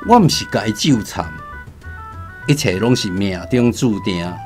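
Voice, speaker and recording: An actor in a radio drama playing a seasoned fortune teller, solemn and fatalistic, making deliberate pronouncements. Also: male voice, lowish voice, lively intonation, darkish tone, soft background music. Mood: resigned. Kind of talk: Taiwanese radio drama acting.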